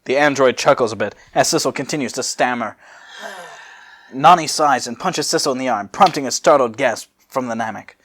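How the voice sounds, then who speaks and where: A man's voice: mid-range voice, a little fast, fairly bright tone. A man hosting a casual comedic story reading in a jokey, informal manner. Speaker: fan fiction reader and host.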